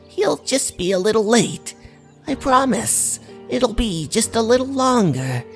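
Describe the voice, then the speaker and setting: Lady doing a kindly old granny in a story, super slow and reassuring, music under it. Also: on the high side, expressive. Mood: soothing, reassuring. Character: gentle old grandmother soothing her granddaughters. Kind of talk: dramatised storybook narration.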